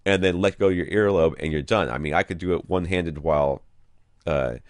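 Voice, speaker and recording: A man hosting a podcast, chatting casually and giving advice in a rambling, colloquial, animated way. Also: deep voice, a little fast, no room sound, clean studio sound. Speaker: podcast host.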